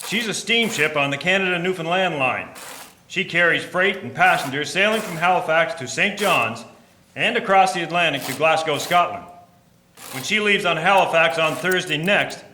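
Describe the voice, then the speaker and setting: Man playing a gruff sea captain, sharp and forceful. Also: on the high side, lively intonation, normal speed. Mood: proud, pleased. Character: gruff, impatient 19th-century sea captain. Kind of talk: radio drama acting. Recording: roomy, faintly noisy drama recording.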